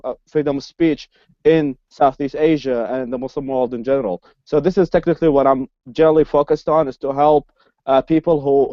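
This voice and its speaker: Man from the Middle East, fairly high voice, stop-start speech, dry muffled call audio. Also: no echo. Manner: halting, frequent restarts. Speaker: human rights activist guest. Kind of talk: casual podcast interview.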